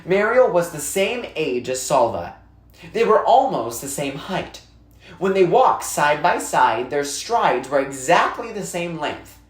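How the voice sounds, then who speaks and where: An unhurried narrator reads a children's novel aloud in a roomy, echoing space. The pitch sits in a middle range. The delivery is expressive and deliberate, pausing for emphasis. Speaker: narrator reading a book aloud. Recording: roomy, echoey sound.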